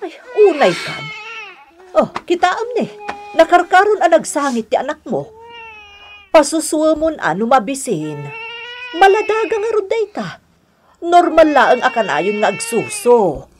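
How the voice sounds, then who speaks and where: Madamdaming inang Ilokana. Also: female voice, sits high, huge pitch swings, measured pace, pauses often, a little hiss or hum, exaggerated voice. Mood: alarmed scolding. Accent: Ilocano. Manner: earnest, coaxing. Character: caring, pleading mother and new grandmother. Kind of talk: Ilocano radio drama acting.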